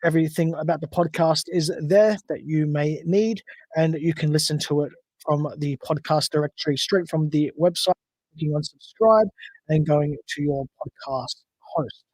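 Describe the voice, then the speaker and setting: Chatty Australian man, higher voice. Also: normal speed, a little mellow, no echo, low-quality recording. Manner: chatty, rambling with self-corrections. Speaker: podcast host. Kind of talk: solo livestream chat.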